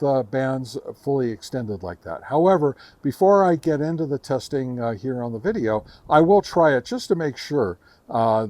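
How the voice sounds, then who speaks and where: A man with a mid-pitched voice presents a casual equipment test as host of his own channel. He speaks to viewers in a halting, methodical manner, working through details step by step. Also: darkish tone. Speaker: host of a radio gear review channel. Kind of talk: casual product test review, talking to viewers.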